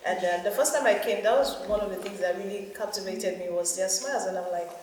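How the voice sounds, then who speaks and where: A woman's voice: medium pitch, fast, a little mellow. A woman in a group discussion, chatting casually and with enthusiasm, laughing now and then. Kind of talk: casual chat. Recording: echoey room from far off, noisy.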